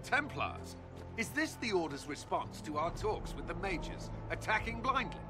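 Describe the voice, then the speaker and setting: An actor playing a resolute military commander in a video game, urgent and forceful, with clipped, commanding speech. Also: male voice, high-pitched, big pitch swings, measured pace, music in the background. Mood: indignant. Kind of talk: dramatic video game acting.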